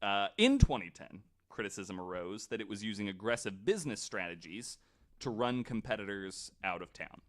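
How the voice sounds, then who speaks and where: A man with a fairly low voice hosts a podcast, explaining researched material in conversational style. His delivery is halting and informal, as if talking to friends across a table. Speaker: podcast host presenting a researched story. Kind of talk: casual explanatory podcast talk.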